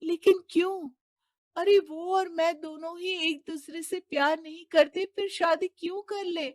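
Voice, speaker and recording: A woman's voice: very high voice, steady tone, a little slow, dry recording, clean studio sound. A woman voicing a stubborn young rich man's daughter in a cartoon moral story, pleading and insistent. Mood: protesting.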